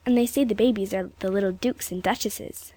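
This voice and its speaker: A woman's voice: medium pitch, brisk, mellow, no echo, natural voice. A woman voicing a polite, dreamy, chatty little English girl with a British accent and a prim manner.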